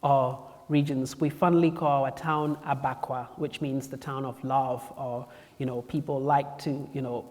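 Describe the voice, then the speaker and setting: A man's voice: medium pitch, little pitch movement, unhurried, a little mellow, big-room sound. A man from Cameroon, a guest speaker telling his story to a campus audience, chatty and playful. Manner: chatty and playful, often laughing. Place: campus lecture hall.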